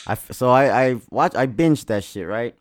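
A man's voice: mid-range voice, moderate pace, slight background noise. A man co-hosting a podcast in casual chat, rambling and swearing freely. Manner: profane, excitable, rambling. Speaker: podcast co-host.

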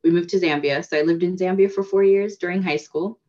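A woman's voice: lowish voice, steady tone, a little fast, a little mellow. A woman from the United States, a guest in a casual interview, talking reflectively with many fillers and restarts. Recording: dull lo-fi audio.

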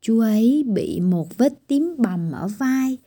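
A woman's voice: on the high side, animated, slow pace, mellow. A woman voicing a strict, bossy tiny girl doctor in a children's storybook reading, giving firm scolding commands. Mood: stern.

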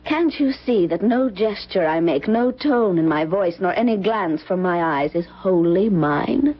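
An actress playing a tormented young woman burdened by a dark heritage, with solemn, melodramatic phrasing in old-time radio style. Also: mid-range voice, expressive, measured pace, husky. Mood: haunted, intense. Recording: dull vintage radio broadcast recording.

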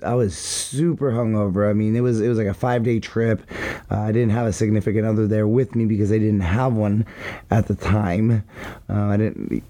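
A man hosting a casual solo podcast, rambling with hype-man banter and a slightly hoarse voice after a wild weekend. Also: fairly low pitch, mellow. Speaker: podcast host.

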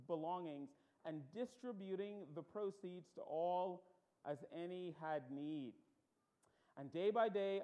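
A man's voice: high-pitched, muffled recording. A man with a light Bahamian accent preaching a sermon as pastor, conversational with many ums and restarts, rising to emphatic stresses.

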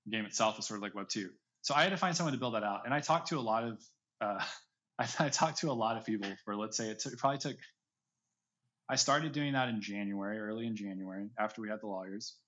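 A man's voice: lowish voice, fast, a bit bright, dull audio. A man speaking casually as a podcast guest, opinionated and explaining his points with examples. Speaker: podcast guest, audio drama creator. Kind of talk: casual interview talk.

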